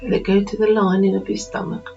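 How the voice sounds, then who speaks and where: A woman with a dark, relaxed timbre and a middling pitch leads a guided meditation. She speaks soothingly in short, unhurried phrases with pauses between them. The recording is a little dull and lo-fi, with faint music behind her. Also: gravelly.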